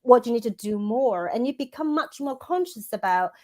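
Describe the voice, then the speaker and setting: A woman's voice: fairly high pitch, lively intonation, normal speed, darkish tone. A woman, a coach giving thoughtful interview answers that she builds up in lists, with frequent restarts. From Hong Kong. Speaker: guest, women's coach and author.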